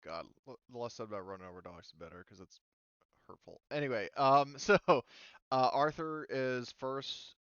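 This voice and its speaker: A man's voice: mid-range voice, moderate pace, fairly bright tone, clear, resonant tone, no echo, lo-fi sound. A man running a tabletop game as game master, talking casually and thinking aloud as he checks the rules.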